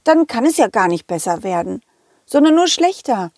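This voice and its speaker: A woman's voice: on the high side, sing-song, normal speed, a little mellow, no echo, studio quality. A woman voicing a grumpy, sleepy female lemon teacher who is dismissive and whiny and wants to be left alone. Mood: grumpy, weary.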